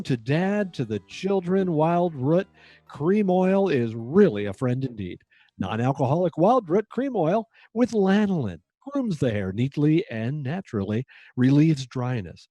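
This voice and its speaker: A man's voice: on the high side, mellow, no echo. A man announcing in old-time radio style, delivering scripted show introductions and sponsor reads.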